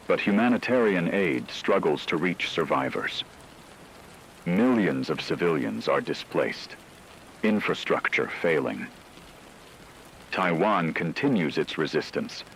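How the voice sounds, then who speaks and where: Deep-voiced actor as a grave male war newscaster. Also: a bit bright, a little hiss or hum, full character voice, low-quality recording. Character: grave male radio news anchor reporting a world war. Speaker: radio news anchor. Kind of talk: scripted dramatic news broadcast.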